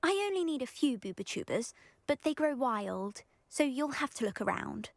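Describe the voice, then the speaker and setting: A woman's voice: sits high, very animated, moderate pace, gravelly, no room sound, full character voice. A woman voicing a vain, scheming teenage schoolgirl in a video game, with a pompous, genteel British delivery. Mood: smug, pleased. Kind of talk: video game character acting.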